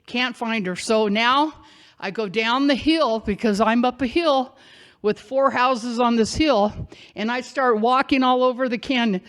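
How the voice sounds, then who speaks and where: Woman storyteller on stage with a loud, high voice, animated and unhurried. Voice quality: loud, projecting voice. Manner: animated, conversational, acts out shouting and quotes. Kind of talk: live personal storytelling on stage. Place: theater stage.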